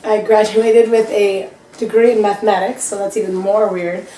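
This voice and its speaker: A woman's voice: mid-range voice, level tone, moderate pace, dark tone, roomy sound, recorded from a distance, a little hiss or hum, low-quality recording. A woman in her early twenties, a math teacher, chatting as a podcast guest in a rambling, self-deprecating way. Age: 20s. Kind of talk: casual chat.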